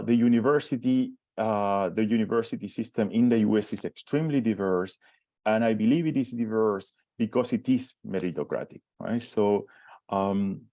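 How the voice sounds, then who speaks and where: A man's voice: on the low side, a little mellow, dry recording. A man in his forties from Chile with a Chilean Spanish accent, giving a conference talk as a professor, hesitant with many restarts and fillers. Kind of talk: conference presentation.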